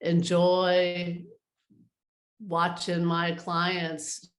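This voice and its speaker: A woman with a slightly low voice talks as an interview guest in a clearly echoey room. She explains things conversationally, like an advisor giving practical guidance. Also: monotone, extremely slow.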